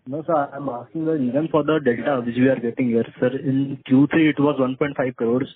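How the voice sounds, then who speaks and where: An Indian-accented man with a husky voice, an analyst asking deferential but persistent questions on a call. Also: medium pitch, steady tone, brisk. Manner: deferential, persistent, often says sir. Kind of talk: spontaneous conference call questions. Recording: muffled, narrow phone line.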